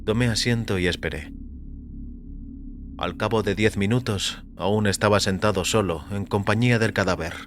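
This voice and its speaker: A man reading scripted first-person fiction as narrator, in a measured, composed manner. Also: fairly low pitch. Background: music.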